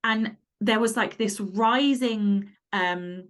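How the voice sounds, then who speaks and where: A woman's voice: a little high, takes it slowly, a bit bright. A woman chatting candidly as an interview guest, speaking in an emphatic, blunt and sweary way. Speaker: podcast guest, fiction author. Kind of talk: casual, candid interview chat.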